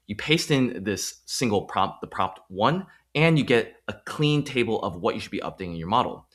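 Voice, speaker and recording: Guy with a lowish voice doing a step by step explainer video, punchy emphasis, kinda salesy tutorial host. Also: a bit bright. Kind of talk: explanatory screen tutorial with sales-pitch phrasing.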